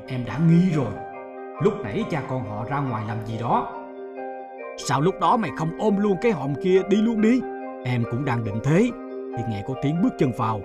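Man as conspiratorial sailor crook, lively pitch. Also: echoey room, close to an everyday voice. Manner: conspiratorial, urgent. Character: greedy, scheming sailor crook, conspiratorial and ruthless. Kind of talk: cartoon fairy-tale dialogue.

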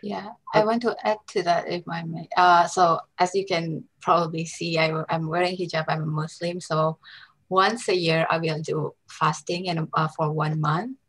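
Young woman from Indonesia, low-pitched, earnest, headset mic on a video call. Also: fairly even intonation, normal speed, a bit bright, poor audio. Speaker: graduate student. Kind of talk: panel interview answers.

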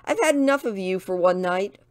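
A woman voicing a tough, angry young woman in an audiobook, rasping and scolding with indignation. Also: medium pitch, animated, a little fast, dry recording, voice pushed up, close to an everyday voice. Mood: cold anger. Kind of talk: audiobook character dialogue.

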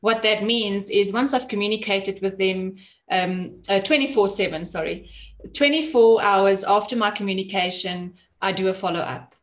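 A woman's voice: mid-range voice, normal speed. A middle-aged woman explaining and advising on a video-call panel in an earnest, direct, conversational way. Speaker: sales professional on a panel. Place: small office, video call.

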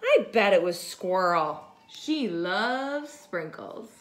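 A woman in her thirties reading a picture book aloud to children in an animated, expressive way, with exaggerated delivery and hushed asides. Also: mid-range voice, takes it slowly. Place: home kitchen.

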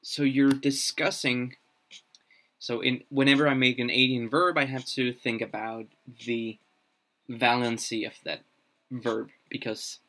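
A young man, a native Danish speaker, talks slowly and unhurriedly on a live stream, at an ordinary mid pitch. He thinks aloud, halting often with fillers and restarts. He is recorded at a desk in a small room. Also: flat delivery, pushed and bright. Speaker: streamer building a constructed language.

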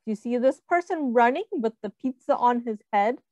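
Lively high-voiced woman reading to children. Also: moderate pace, no room sound. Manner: expressive, explains and asks children questions. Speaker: read-aloud host, teacher. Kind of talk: picture book read-aloud for young children.

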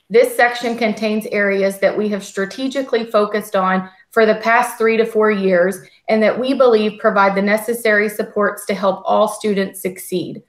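A woman presenting a school district budget report on a video call in a steady, formal, scripted way. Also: mid-range voice. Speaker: school district finance administrator. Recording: echoey video call audio.

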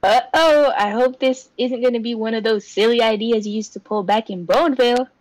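A woman's voice: fairly high pitch, brisk, a little mellow, no echo, close to an everyday voice. A woman voicing a cheerful, easygoing, goofy male cartoon buddy with a folksy, chummy manner. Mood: teasing.

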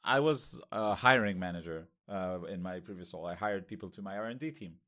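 An Israeli-accented man with a fairly low voice, chatting candidly as a podcast co-host. He thinks aloud, pausing and filling gaps with ums and uhs. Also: a bit bright. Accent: Israeli, lighter than most. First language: Hebrew. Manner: candid, thinks aloud with many pauses and fillers. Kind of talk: casual conversation.

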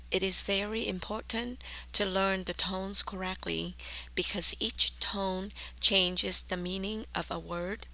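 A woman with a southern Vietnamese accent narrating a language lesson as the teacher, enunciating deliberately and carefully. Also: mid-range voice, gravelly, bright, forceful tone, low-quality recording.

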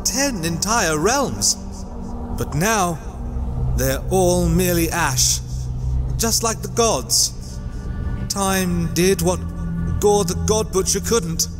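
A man's voice: high-pitched, lively intonation. A man voicing a rambling half-mad ancient god. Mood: wistful. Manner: rambling, halting, drifting into sing-song. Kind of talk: motion comic voice acting. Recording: backed by loud music.

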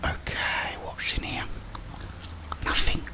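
A young man giving whispered ASMR game commentary in a breathy voice, murmuring short remarks to himself and his viewers. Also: slow. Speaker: gaming ASMR host. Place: small indoor room with plain wall.

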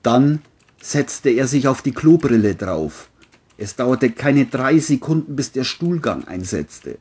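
A man's voice: mid-range voice, lively intonation, takes it slowly, darkish tone, husky. A man narrating a scripted crime audiobook in a matter-of-fact storytelling manner.

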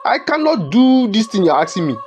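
A man's voice: high voice, sing-song, fairly quick, a little mellow, a little hiss or hum. Stammering, desperate Nigerian husband played by a man. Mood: indignant, defiant. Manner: stammering, agitated, repeats words. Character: desperate poor husband entangled in a money ritual. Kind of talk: melodramatic film acting.

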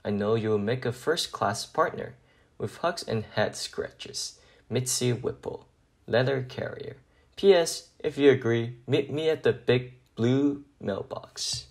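A man voicing a friendly, devoted woman letter carrier in a children's picture book, gentle and sincere. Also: medium pitch, a little slow, close to an everyday voice. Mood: hopeful, affectionate.

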